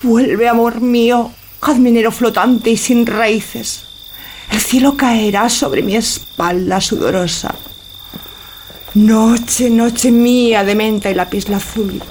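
Woman playing slow, high-voiced passionate young wife Belisa. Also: steady tone, darkish tone, slight background noise. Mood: yearning. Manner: slow, lyrical, poetic declamation. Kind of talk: theatrical Spanish radio drama acting.

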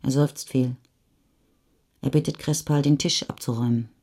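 An actress playing the sober, measured narrator of a post-war chronicle in a German radio play. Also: female voice, rumbling low, fairly even intonation, warm tone, husky. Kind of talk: literary reading in a radio play.

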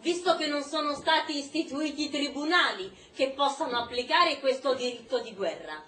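Woman acting an insistent, high, distant-sounding journalist. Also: lively intonation, normal speed, fairly bright tone. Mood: pressing, challenging. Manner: pressing, indignant, articulate. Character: bold, insistent female journalist challenging authority. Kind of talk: theatrical press questioning. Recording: distant, echoey stage recording, faint noise.

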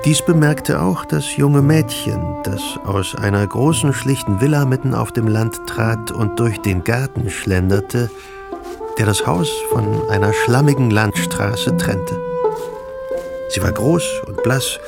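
A man narrating a literary radio drama in a measured, composed way. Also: mid-range voice, vocal fry. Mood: composed, descriptive. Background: music.

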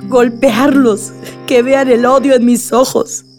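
A woman's voice: a little high, little pitch movement, takes it slowly, darkish tone, faint music behind. An actress in a radio drama playing an anguished, vengeful daughter grieving her murdered father. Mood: enraged.

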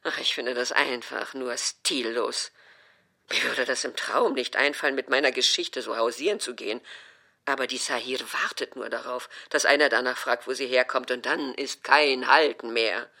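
A woman's voice: very deep voice, moderate pace, sharp tone, heavily acted. An actress playing a stubborn, easily offended older mother who complains dramatically in an audio drama. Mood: scornful. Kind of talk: acted German audio drama dialogue.

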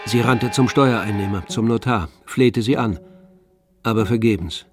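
A man narrating a literary radio drama in a measured storytelling manner. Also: fairly low pitch. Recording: dry studio, music behind.

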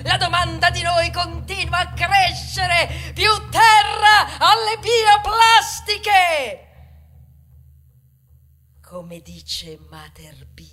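A woman's voice: very high voice, pushed and bright, heavily acted. An actress voicing a triumphant bioplastic spokeswoman, a zealous propagandist, in a grandiose theatrical radio monologue. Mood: hushed, smug. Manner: slow, grandiose, rhetorical delivery.

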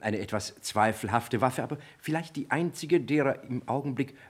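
An actor playing a diligent police inspector in a German radio play, slightly husky, reporting facts with small hesitations and repeated affirmations. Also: male voice, mid-range voice, normal speed, lightly acted. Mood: dutiful, businesslike. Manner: hesitant fillers, repeats his affirmations, matter-of-fact.

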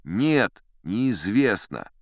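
A man voicing a meek, dying chairman in an audiobook, speaking slowly and humbly with drawn-out words. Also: fairly low pitch, sing-song, dry recording, clean studio sound, full character voice. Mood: meek, fading.

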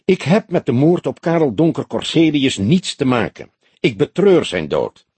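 A man's voice: medium pitch, sing-song, moderate pace, darkish tone, breathy edge, no room sound, pitched above the performer's own voice. A man voicing an arrogant, venomous businessman in his thirties in an audiobook, snappy, impatient and haughty. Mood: impatient, defensive. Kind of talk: Dutch audiobook character dialogue.

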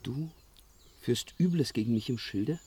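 An actor playing a bold young dragon-slayer in a fantasy radio play, blunt and naive in manner. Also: male voice, medium pitch, measured pace, dark tone, husky, no echo. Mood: blunt suspicion.

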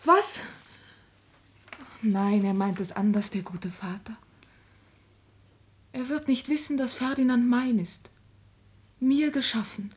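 An actress playing a devout, lovesick young daughter in a classic radio drama, fervent and anguished, often breathy and close to a whisper. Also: female voice, a little high, takes it slowly, pauses often. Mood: rapturous. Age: young adult. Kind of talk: classic German stage drama radio acting.

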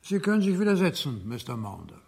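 An actor playing a stern, measured judge in a radio drama, formal and admonishing. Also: male voice, on the high side, sing-song, vocal fry, a little mellow, breathy edge, exaggerated voice. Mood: firm. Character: stern, measured male judge presiding in court. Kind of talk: German radio drama acting.

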